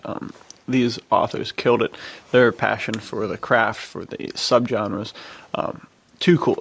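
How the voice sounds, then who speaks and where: A man's voice: medium pitch, vocal fry, breathy edge, no room sound. A man co-hosting a podcast, talking casually and informally with slangy phrasing. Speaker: podcast co-host, author. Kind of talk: casual chat.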